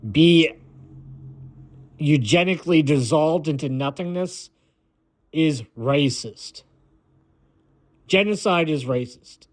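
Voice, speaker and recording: An American man giving a rambling, opinionated monologue in a blunt, dismissive way, often halting and repeating himself. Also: fairly high pitch. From United States. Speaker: video maker.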